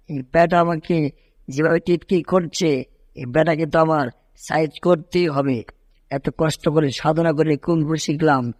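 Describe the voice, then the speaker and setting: A man voicing an excitable, boastful cartoon cat who dreams of kung fu mastery, with whining exclamations. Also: a little high, no room sound, natural voice. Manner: animated, whining, exclaiming, shouting kung fu cries. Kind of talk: amateur cartoon dub in Bengali.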